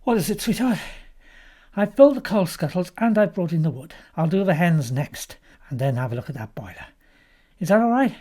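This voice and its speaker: A woman's voice: lowish voice, sing-song, a little fast, dark tone. A woman voicing an affectionate, practical young husband with a casual, reassuring way of talking.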